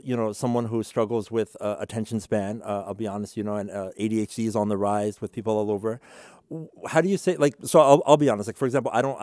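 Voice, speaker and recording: A man's voice: fairly low pitch, darkish tone, dry recording, clean studio sound. A man hosting a casual interview, informal, working his way toward questions through examples and check-in phrases. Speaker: interviewer, host.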